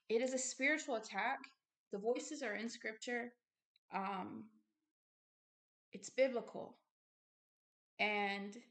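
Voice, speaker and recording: A woman hosting a religious video, reading from a book and commenting informally in a halting, earnest way with insistent asides. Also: mid-range voice, a bit bright.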